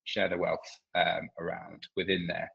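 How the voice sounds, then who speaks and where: Husky low-voiced man hosting a webinar. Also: completely flat delivery, measured pace. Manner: chatty, loose, lots of ums. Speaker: webinar co-host. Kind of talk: casual webinar housekeeping. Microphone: video call. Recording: dull lo-fi web call audio.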